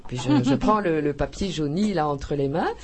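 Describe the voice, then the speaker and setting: A woman's voice: deep voice, animated, moderate pace, darkish tone, slight background noise. A woman author from Belgium in a radio interview, talking effusively with frequent hesitations, restarts and laughter.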